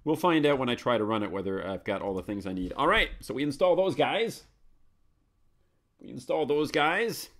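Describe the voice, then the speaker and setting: A man's voice: mid-range voice, expressive, moderate pace, a bit bright. A man hosting a live computer tutorial, chatty and self-correcting, muttering commands as he types. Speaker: host of a live Linux tutorial show. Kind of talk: live screencast tutorial, thinking aloud.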